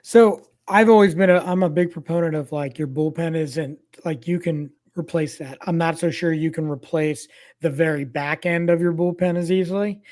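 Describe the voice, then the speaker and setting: A man's voice: on the high side, fairly even intonation, normal speed, darkish tone. A man hosting a casual sports podcast, leading the chat and passing questions to his co-hosts. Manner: leads discussion, tosses questions to co-hosts. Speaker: podcast host. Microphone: remote streaming mic.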